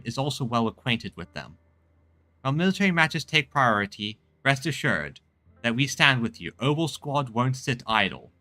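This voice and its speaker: A man's voice: medium pitch, bright, forceful tone, dry recording. A man streaming a game and reading dialogue aloud, often stumbling and repeating phrases.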